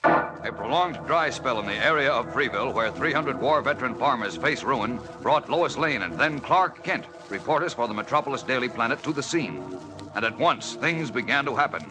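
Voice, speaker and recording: A man narrating a 1940s radio serial as its announcer, dramatic and portentous, with measured phrasing. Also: mid-range voice, expressive, music in the background, rough recording.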